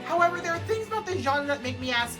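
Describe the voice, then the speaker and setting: A woman narrates a scripted gaming essay with a playful, informal delivery. She talks quickly, and music plays behind her voice. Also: high voice, animated. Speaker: video essay host. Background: background music.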